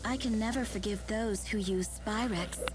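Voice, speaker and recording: A woman's voice: mid-range voice, moderate pace, very noisy, lightly acted. A woman voicing a haughty, airy female great spirit and protective big sister in a dubbed game cutscene, speaking in a languid, lofty way.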